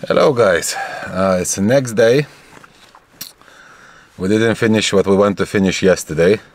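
A man in his forties gives a casual vlog update from a parked car. He speaks in a relaxed, halting way with many fillers. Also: on the low side. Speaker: homesteader vlogger. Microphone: camera on the dashboard.

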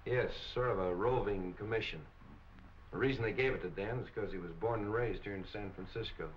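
A man plays a gruff American police captain in a vintage crime film. He talks low, blunt and terse, and the old soundtrack is dull and faintly hissy. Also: fairly quick, lightly acted. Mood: businesslike. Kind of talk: 1940s film acting.

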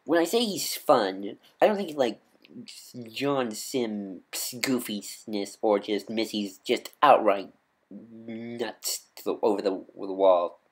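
A man's voice: on the low side, animated, takes it slowly, husky. A man talking casually as a video host, rambling and often correcting himself mid-sentence. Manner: rambling, many restarts, self-correcting. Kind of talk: casual rambling fan commentary.

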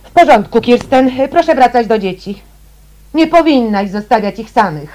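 A woman's voice: on the high side, expressive, normal speed, darkish tone, slight background noise, natural voice. An actress playing a bossy, polished lady employer with a firm manner in a radio play. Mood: bossy, reproving. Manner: firm, reproving.

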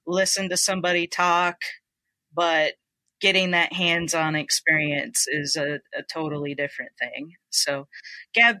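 A woman hosts a podcast interview, her voice very bright and recorded close and dry. She answers guests with quick, encouraging affirmations. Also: fairly low pitch, dry recording. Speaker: podcast host. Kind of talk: interview hosting.

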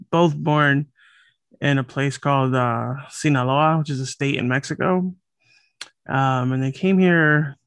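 Late-thirties man from Los Angeles, podcast guest, smooth voice sitting a touch high, dry close sound, unhurried and reflective. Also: dry recording. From Los Angeles, USA. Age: late 30s. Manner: relaxed, thoughtful, with many fillers and restarts. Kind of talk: casual conversational interview. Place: home room, over a video call.

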